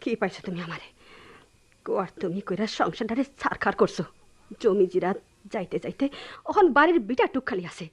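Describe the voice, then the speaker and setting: An actress playing a fed-up, sharp-tongued poor village wife in a Bengali radio drama, scolding and stubborn, with a rural Bangladeshi accent. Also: female voice, medium pitch, sing-song, fairly quick, breathy edge. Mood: bitter, defiant.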